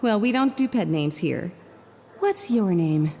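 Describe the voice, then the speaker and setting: A woman playing a cool, commanding asylum official in an audio drama, measured and condescending. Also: mid-range voice, lively intonation, a little mellow, a little hiss or hum. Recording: long hall reverb.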